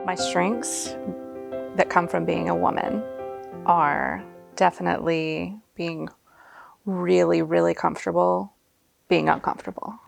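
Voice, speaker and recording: A woman from a small town in Alabama, talking thoughtfully in an interview and often pausing on fillers. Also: fairly low pitch, fairly even intonation, creaky, soft background music. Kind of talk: interview to camera.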